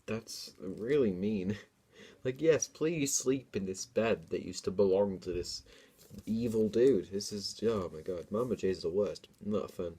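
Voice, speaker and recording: Husky young man reading theatrically. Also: fairly low pitch, a little slow, dark tone. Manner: theatrical reading, self-mocking commentary. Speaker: comedian reading own childhood book. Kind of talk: dramatic reading with casual joking asides.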